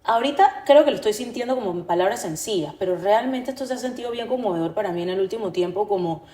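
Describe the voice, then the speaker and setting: Mellow-voiced woman in an echoey room. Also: medium pitch, expressive, quick pace. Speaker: podcast co-host. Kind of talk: reflective personal conversation.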